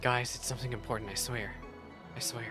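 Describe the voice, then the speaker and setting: A man's voice: mid-range voice, fairly even intonation, a little fast. A man doing casual live gameplay commentary as a streamer, muttering offhand asides. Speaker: game streamer. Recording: game music behind.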